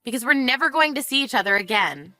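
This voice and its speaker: A woman's voice: on the high side, lively intonation, quick pace, pushed and bright, faint music behind. A woman voicing a fed-up, sarcastic wife with a cutting, smug manner in a narrated family drama. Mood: smug, mocking. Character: fed-up, sarcastic young wife taunting her in-law.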